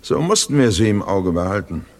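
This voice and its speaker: An actor playing a courteous but firm male chief inspector, formal and measured, in a 1970s radio crime play. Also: on the low side, sing-song. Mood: polite, firm. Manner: formal, measured, politely admonishing. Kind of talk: 1970s German radio crime drama acting.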